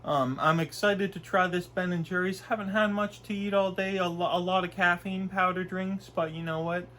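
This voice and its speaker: A man's voice: sits high, steady tone, moderate pace, pushed and bright. A young man from Canada with a stuffy nose from a cold, chatting casually and rambling through a food review in his bedroom. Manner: rambling, chatty, with frequent fillers and restarts. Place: small bedroom, indoors.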